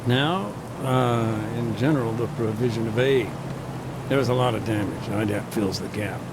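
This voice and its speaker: An actor playing a thoughtful aid worker in a war zone, talking on the phone from a car in a relaxed, hesitant way. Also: male voice, medium pitch, normal speed, very noisy, natural voice. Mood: calm. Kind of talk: video game cutscene dialogue. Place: moving vehicle. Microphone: phone call.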